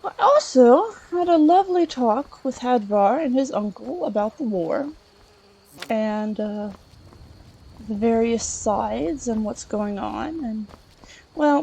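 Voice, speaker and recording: A woman's voice: a little high, vocal fry, warm tone, slight background noise, rough recording. A woman playing an earnest, polite, inquisitive scholar adventurer in a video game, chatting and musing aloud with some hesitation. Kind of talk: improvised in-character let's play monologue.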